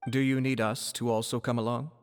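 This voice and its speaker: A man playing a calm, courteous, reserved gentleman in an anime game, formal in manner. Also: medium pitch, brisk, clear, resonant tone, long reverb tail, soft background music, close to an everyday voice.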